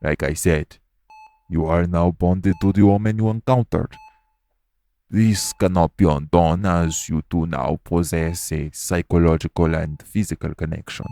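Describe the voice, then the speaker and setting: Man acting a very deep, formal monster doctor. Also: steady tone, dark tone. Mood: stern, formal. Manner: measured, formal, stern. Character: formal, patient male monster doctor with a lashing tail. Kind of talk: audio roleplay acting.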